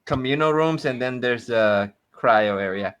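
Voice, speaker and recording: A man's voice: medium pitch, unhurried, fairly bright tone, smooth. A man playing a tabletop role-playing game, bantering casually in and out of character.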